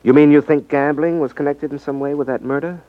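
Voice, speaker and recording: An American actor playing a cool, shrewd, world-weary detective in a 1950s radio drama. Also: male voice, on the high side, steady tone, fairly quick, no echo, lightly acted. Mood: casual, probing. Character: cool, shrewd male ex-magician rackets detective. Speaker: radio drama lead actor, narrating and in scenes. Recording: muffled, noisy 1950s radio recording.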